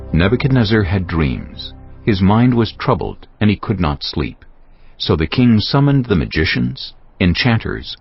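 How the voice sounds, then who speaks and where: A man narrating a dramatized audio Bible in a solemn, deliberate storytelling style. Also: sits low, vocal fry, lo-fi sound.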